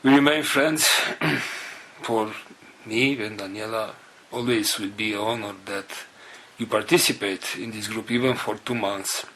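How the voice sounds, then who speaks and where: Very slow, low-voiced earnest man. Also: fairly even intonation, a bit bright, a little hiss or hum, rough recording. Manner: halting, earnest, phrases broken by pauses. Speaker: spiritual group leader. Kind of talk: spiritual address to group members.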